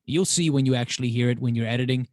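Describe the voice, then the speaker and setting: A man's voice: medium pitch, fast talker, dry recording. Enthusiastic man, casual podcast guest. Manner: enthusiastic, emphatic, swears casually.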